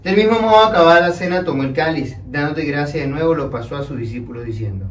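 A young man leads Mass as a priest, in a slightly high voice. He recites the prayers solemnly, with measured, declamatory phrasing. He is picked up from some distance in an echoey room, with faint noise behind him. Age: young adult.

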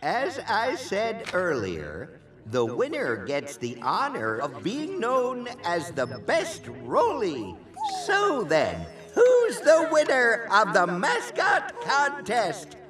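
Jolly old park elder announcing stuff, kids cartoon, really slow, music behind. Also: mid-range voice, wildly swooping pitch, fairly bright tone, distant mic, full character voice. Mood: ceremonious. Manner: ceremonious, hearty. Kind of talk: children's animated series dubbing.

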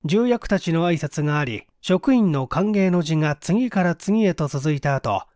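A man narrating a mystery story as an audiobook reader, in a measured, dramatic storytelling manner. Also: on the high side, expressive, a little mellow, dry recording, clean studio sound. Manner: measured storytelling with acted dialogue.

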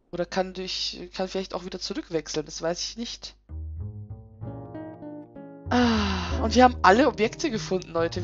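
A hoarse woman with a chatty, reflective way of talking, giving casual gameplay commentary. Her voice sits at mid pitch, and game music plays clearly behind her. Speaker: let's play host.

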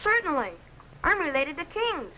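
50s tv snooty teen boy space sidekick, high voice, earnest, bit of hiss. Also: very animated, normal speed, bright, forceful tone, heavily acted, poor audio. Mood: airy, self-important. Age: young teenager, boy. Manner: pompous, earnest, carefully enunciated. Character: self-important teenage boy assistant to space explorer. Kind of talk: 1950s children's TV sci-fi acting. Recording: vintage film soundtrack, faint noise.

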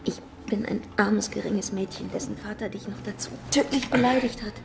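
An actress playing a shy, earnest, devout young mountain girl, breathy and hushed, in a radio drama. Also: medium pitch, fairly quick, noisy background, close to an everyday voice.

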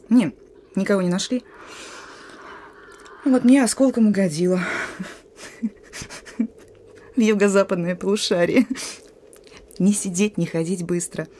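A woman's voice: lowish voice, dark tone, a little hiss or hum, natural voice. Actress as a brusque young partisan sentry woman. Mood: subdued, weary. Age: young adult. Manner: colloquial, brusque. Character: young wary female partisan sentry, gruff but soft-hearted. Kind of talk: radio drama acting.

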